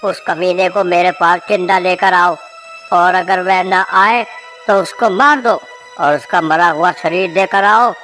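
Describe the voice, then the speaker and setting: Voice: mid-range voice, fairly even intonation, a little slow, fairly bright tone, close to an everyday voice. A voice actor playing a powerful, imperious village chief in a cartoon moral story, with music behind. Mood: furious. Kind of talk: animated moral story character dialogue.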